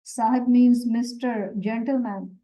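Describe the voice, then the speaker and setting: A woman whose first language is Urdu explains the meaning of Quran verses word by word, measured and pausing with fillers. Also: a little high, steady tone, dark tone, rough recording. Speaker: Quran teacher.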